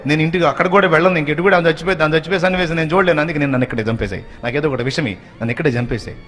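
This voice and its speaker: A man's voice: rapid-fire, warm tone, long reverb tail, faint music behind, natural voice. Man doing a desperate pleading young wife. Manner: high, tearful, pleading. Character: desperate remorseful young daughter-in-law, pleading.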